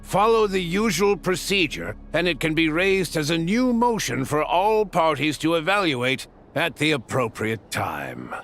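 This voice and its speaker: A stately older lord from a video game, with a gravelly, slightly raised voice. He speaks formally and deliberately, every phrase carrying measured authority. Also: a little high, big pitch swings, fairly bright tone, faint music behind, exaggerated voice. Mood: measured, authoritative.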